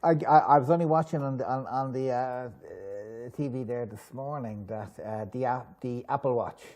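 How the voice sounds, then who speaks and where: A middle-aged Irish man hosts a business chat show. His voice is mellow and mid-pitched. He talks in a chatty, rambling way, as in a relaxed studio interview. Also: normal speed. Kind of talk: conversational broadcast interviewing. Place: TV studio in a hotel.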